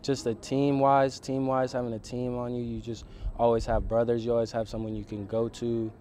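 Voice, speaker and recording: A man's voice: medium pitch, level tone, a little fast, a little hiss or hum. A twenty-year-old man, a college football player, talking reflectively in a documentary interview outdoors. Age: young adult. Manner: soft, reflective, casual filler words.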